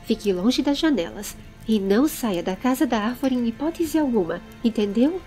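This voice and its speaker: A woman acts out a commanding Brazilian lady of a fantasy household, firm and protective. Her voice is fairly high yet mellow, with maternal authority, in an audiobook with music behind. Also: lively intonation, normal speed, lightly acted.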